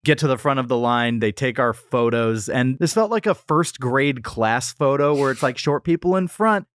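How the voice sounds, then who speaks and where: Higher-voiced man hosting a casual podcast, dry sound. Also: moderate pace, smooth, dry recording. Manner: conversational storytelling, joking. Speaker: podcast host. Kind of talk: casual comedic podcast chat.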